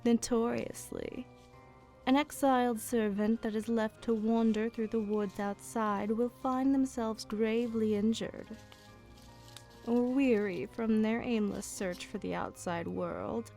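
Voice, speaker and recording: Woman playing a slow, dark-voiced aristocratic vampire lady. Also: mid-range voice, lightly acted. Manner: slow, formal, deliberate, softly threatening. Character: aristocratic female vampire, coolly menacing, possessive, condescending. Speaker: ASMR roleplay performer. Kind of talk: ASMR roleplay monologue. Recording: music behind the voice. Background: music.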